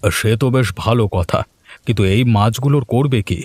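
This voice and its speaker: A man voicing a kindly village headman in a cartoon, calm, fatherly and reassuring. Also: mid-range voice, brisk, breathy edge, no echo, natural voice. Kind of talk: cartoon voice acting.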